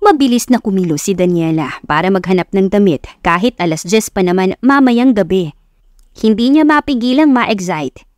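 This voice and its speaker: A woman's voice: mid-range voice, animated, moderate pace, darkish tone, no echo, studio quality. A woman with a Filipino accent reading a scripted romance story as an audiobook narrator.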